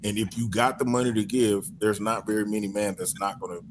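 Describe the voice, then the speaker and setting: A man's voice: lowish voice, little pitch movement, fairly quick, noisy background. A man arguing bluntly and casually on a panel, in slangy everyday speech. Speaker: podcast panelist. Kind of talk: casual debate.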